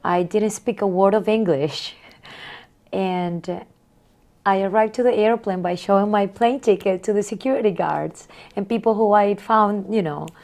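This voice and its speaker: A woman in her forties from Honduras, answering interview questions in a soft, reflective way with small repeats. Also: medium pitch, measured pace. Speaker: guest.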